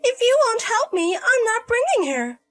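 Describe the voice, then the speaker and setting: Woman putting on a petulant young single mother, high voice, animated, wheedling, irresponsible, story drama. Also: moderate pace, faint music behind. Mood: petulant, angry. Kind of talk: acted dialogue in a narrated drama story.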